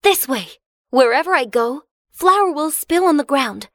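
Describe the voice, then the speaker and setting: High-voiced, resolute princess, acted by a woman. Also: expressive, measured pace, a bit bright, dry recording, studio quality, exaggerated voice. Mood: determined. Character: clever, determined young fairy-tale princess.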